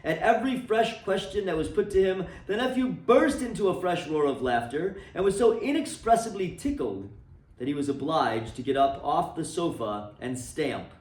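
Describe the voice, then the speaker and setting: A man giving an expressive, dramatic storybook reading of a classic tale for a library, recorded in a roomy space from a distance. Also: a little high, moderate pace. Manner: expressive, swooping phrasing. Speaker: reader for a library.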